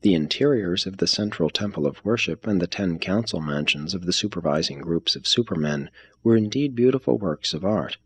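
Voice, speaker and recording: A man reading a religious text aloud as scripted narration, in a measured, formal manner. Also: deep voice, breathy edge. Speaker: reader.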